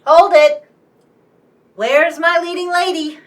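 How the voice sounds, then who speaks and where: Commanding, fed-up female producer, acted by a woman, slow and curt, distant mic, radio play. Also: very high voice, steady tone, slight background noise. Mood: exasperated. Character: exasperated, bossy female radio show producer. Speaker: radio show director. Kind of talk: radio play comedy acting.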